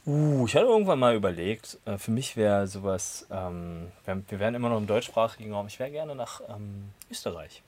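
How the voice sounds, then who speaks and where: Rambling low-voiced young man. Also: very animated, normal speed, few pauses, darkish tone. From Berlin, Germany. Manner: rambling, many fillers and "und sowas". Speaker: conversation partner. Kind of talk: casual chat.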